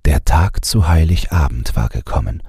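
A man reading a scripted bedtime audiobook in a calm storytelling style. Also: rumbling low, moderate pace, breathy edge, no echo, studio quality. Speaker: audiobook narrator.

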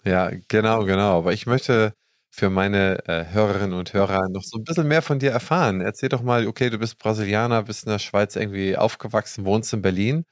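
Low-voiced podcast host, dry sound. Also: male voice, a little fast, dry recording. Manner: friendly, informal questioning. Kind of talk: podcast intro and interview.

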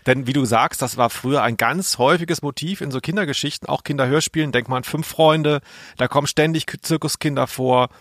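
A man of average pitch talks casually as a podcast co-host. He is wry and opinionated, recorded dry with no room echo. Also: brisk, fairly bright tone. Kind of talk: casual conversational commentary on audio plays.

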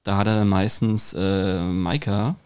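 A man's voice: fairly low pitch, steady tone, measured pace, a little mellow, smooth. A man hosting a podcast, chatting casually and often restarting his phrases. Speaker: podcast host. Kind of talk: casual chat.

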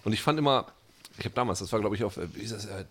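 Rambling, lower-pitched podcast guy. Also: racing, husky. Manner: rambling, many fillers and restarts, laughs easily. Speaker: podcast co-host. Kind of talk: casual chat.

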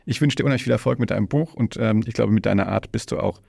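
A man with a low, creaky voice hosts a casual podcast conversation. He circles around his thoughts in rambling phrases. The sound is close and dry. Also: very fast, darkish tone, dry recording. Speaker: podcast host interviewing a guest.

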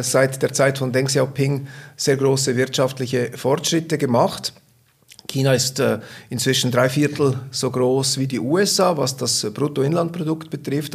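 Formal man hosting a finance podcast. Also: medium pitch. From Switzerland. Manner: polite, formal, occasional fillers. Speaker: podcast host, bank investment officer.

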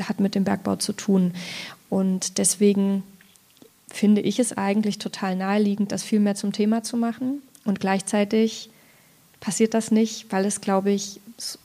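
A woman's voice: mid-range voice, creaky, mellow. A woman speaking as an author at a literary event, reflecting aloud in conversation about her writing. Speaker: author, guest at a reading event. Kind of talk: conversational literary discussion with passages read aloud.

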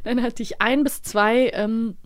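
A woman's voice: on the high side, fairly even intonation, unhurried. Chatty woman in her thirties. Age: 30s. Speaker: co-host of a radio play podcast. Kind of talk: casual chatty podcast talk.

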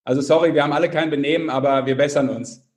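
A man's voice: on the high side, fairly even intonation, rapid-fire, a little mellow. A man co-hosting a sports podcast in casual banter, teasing with dry sarcasm.

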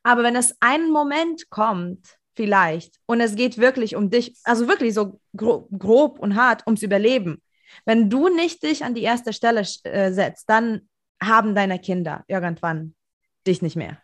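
Woman coach co-hosting, slightly high voice, emphatic advising tone. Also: lively intonation, a little slow. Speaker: podcast co-host, coach. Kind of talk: casual conversational interview.

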